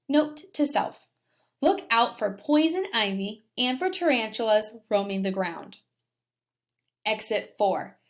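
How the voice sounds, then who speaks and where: A woman teacher reading a children's story aloud with dramatic emphasis and talking directly to her young pupils. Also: mid-range voice. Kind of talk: expressive children's story read-aloud and lesson.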